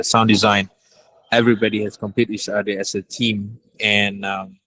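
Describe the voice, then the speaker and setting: A man, a director speaking casually and haltingly, often rephrasing. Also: lowish voice, a bit bright, poor audio. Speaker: audio drama director. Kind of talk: casual discussion.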